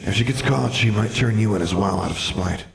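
A man's voice: fairly low pitch, fairly quick, creaky, echoey room, voice pushed up, low-quality recording. A man voicing the troubled ghost of a dead wizard, earnest and weary.

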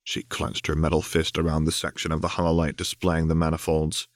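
A man's voice: a bass voice, completely flat delivery, fairly quick, dry recording, clean studio sound. A man reading a fiction audiobook as narrator, in dramatic scripted narration.

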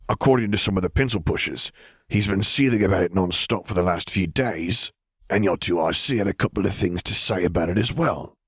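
A man voicing a rough-edged, teasing female clone trooper with a drawl in an audiobook. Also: deep voice, a little fast, no echo, studio quality. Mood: smirking. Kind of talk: audiobook character dialogue.

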